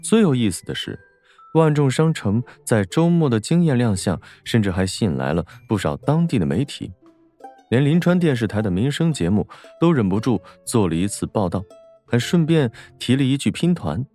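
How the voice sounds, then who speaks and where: A man narrates a novel in a mellow, warm timbre. His mid-pitched delivery moves expressively through the scripted prose, over faint music. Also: sing-song, moderate pace. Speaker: audiobook narrator.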